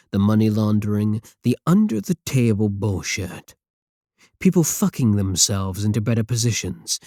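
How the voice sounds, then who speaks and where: A man playing a slow, low-voiced possessive supervillain. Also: dark tone, no room sound, clean studio sound. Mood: bitter, indignant. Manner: slow, theatrical, condescending endearments. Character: possessive, seductive male supervillain with idealistic contempt. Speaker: audio roleplay performer. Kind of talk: ASMR roleplay acting.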